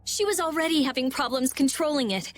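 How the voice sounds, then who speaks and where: A woman voicing a blunt, anxious, sharp-minded young girl in a video game, with an intense delivery. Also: high voice, a little fast, faint music behind, close to an everyday voice. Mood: worried, insistent. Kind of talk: voice acting in an English video game cutscene.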